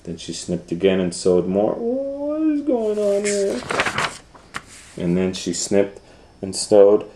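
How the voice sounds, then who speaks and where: A middle-aged man reading a picture book aloud for children, dropping to whispers and rising to loud excited voices for the story. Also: fairly low pitch, slow pace, echoey room, a little hiss or hum. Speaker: storytime reader.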